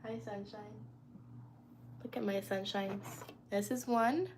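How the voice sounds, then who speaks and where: A woman's voice: medium pitch, fairly even intonation, slow pace, a little hiss or hum. A young woman chatting casually on a live stream from her phone at home, reading and answering viewers' comments. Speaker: livestreamer. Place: indoors at home.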